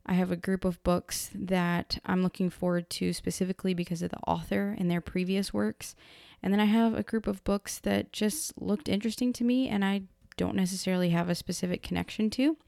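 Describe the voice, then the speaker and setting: A woman hosting a casual book podcast, chatty and rambling, her voice hoarse from being sick. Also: mid-range voice, steady tone, fairly quick, a little mellow, dry recording, studio quality. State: hoarse from illness. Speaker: podcast host.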